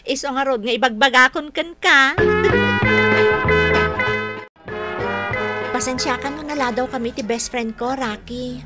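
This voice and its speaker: A woman's voice: high-pitched, brisk, loud music behind the voice, close to an everyday voice. A woman with an Ilocano accent acting a confident, breezy, persuasive young woman in a radio drama. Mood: excited.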